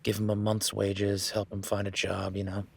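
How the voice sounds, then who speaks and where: A man's voice: on the low side, completely flat delivery, normal speed, gravelly, breathy edge. A man voicing an easygoing male cowboy ranch hand in an audio roleplay, talking in a laid-back, folksy way with casual swearing.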